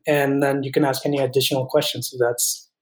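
A man's voice: mid-range voice, level tone, fairly quick, echoey room, background noise. Earnest young man from Colombia. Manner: earnest, thoughtful, with many restarts. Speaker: veterinary student guest. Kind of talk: interview answers.

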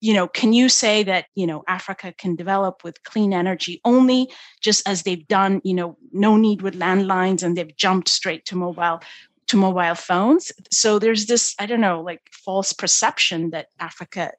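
A woman from Ethiopia, a guest on a podcast interview, talking policy in an animated way with lots of fillers like "you know" and "like". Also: mid-range voice, moderate pace, no room sound. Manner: animated, thinks aloud with many fillers. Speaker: guest, energy policy expert.

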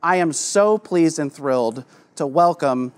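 A man's voice: a little high, a little slow. Upbeat man introducing a speaker. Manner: upbeat, scripted. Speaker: summit host. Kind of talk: formal introduction.

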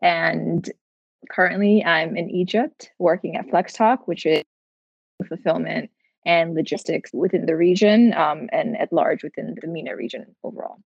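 A woman's voice: fairly low pitch, normal speed, gravelly, husky, dry recording. A young woman from Nepal, raised in the US, introducing herself fluently as an interview guest. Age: young adult. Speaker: guest, fellow. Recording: dull, lo-fi mic.

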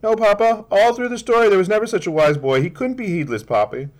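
A man voicing an earnest little girl who insists on every detail, in a high, quick, pleading voice. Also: lively intonation. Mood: insistent.

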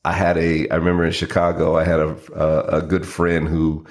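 A man with a very low voice co-hosting a podcast in easygoing conversation. He tells anecdotes, often restarting his sentences as he goes. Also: monotone, fairly quick. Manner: storytelling, frequent restarts and fillers. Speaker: podcast co-host. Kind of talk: casual chat.

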